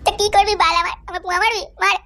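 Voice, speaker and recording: A performer voicing a lovesick, quarrelsome young man in a cartoon, whiny and quick to swear. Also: extremely high pitch, steady tone, sharp tone, exaggerated voice. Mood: drunk angry. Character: lovesick young man, tearful then drunk and angry. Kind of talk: cartoon voice acting.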